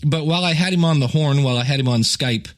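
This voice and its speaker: A man's voice: on the high side, quick pace. A man hosting a podcast as a coach, talking informally and directly to his listeners in a conversational monologue.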